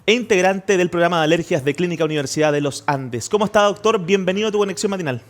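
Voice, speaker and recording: A man hosting a morning show, asking questions in a friendly way and often cutting in with quick agreement. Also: high-pitched. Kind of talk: casual interview questions.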